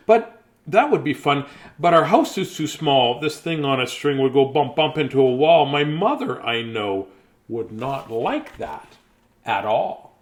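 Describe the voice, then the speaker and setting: A man reading a children's bedtime story aloud expressively, with playful, theatrical delivery and chatty, casual asides. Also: fairly high pitch.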